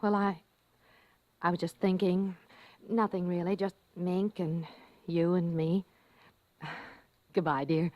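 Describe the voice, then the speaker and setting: A woman playing an anxious, gentle mother who hesitates as she hides her unease, in an old-time radio drama. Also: fairly low pitch, flat delivery, slow, long pauses, no room sound, muffled recording. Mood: nervous, reassuring. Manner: hesitant, searching, trailing off.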